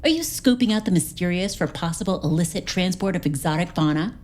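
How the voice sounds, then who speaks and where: An actress playing a brash, suspicious space interrogator who theatrically needles and mangles idioms in a comic audio drama. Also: female voice, lowish voice, lively intonation, quick pace, a little mellow. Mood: suspicious, needling. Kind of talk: comic science fiction audio drama acting.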